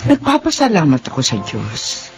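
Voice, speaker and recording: A woman's voice: deep voice, huge pitch swings, normal speed, heavily acted. An actress playing a tender, longing elderly mother in an emotional Filipino radio drama. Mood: tender, grateful. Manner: emotional, earnest delivery. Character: elderly long-lost youngest sister, tender longing mother. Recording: dramatic music bed behind voice.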